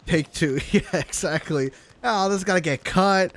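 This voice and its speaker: A man's voice: sits high, unhurried, fairly bright tone. A man doing casual, joking game livestream commentary, swearing now and then as he talks to his chat. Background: video game music and sounds.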